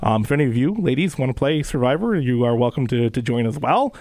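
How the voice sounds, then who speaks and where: A man's voice: medium pitch, expressive, quick pace, a little mellow, dry recording. A man hosting a casual sports radio interview show from a studio, rambling and repeating words as he restarts sentences. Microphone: studio microphone.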